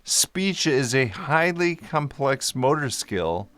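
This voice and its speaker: A man's voice: medium pitch, big pitch swings, takes it slowly, a bit bright, no echo. A man vlogging to camera in a casual, easygoing way, explaining a topic and correcting himself as he goes, speaking into a microphone. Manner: easygoing, self-correcting, joking aside. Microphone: microphone in front of him.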